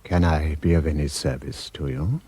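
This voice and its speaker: An actor playing an urbane, knowing stranger in a radio drama, measured, dryly mocking and coolly probing. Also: male voice, very deep voice, steady tone, dark tone, husky, no echo. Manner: measured, dry, politely mocking.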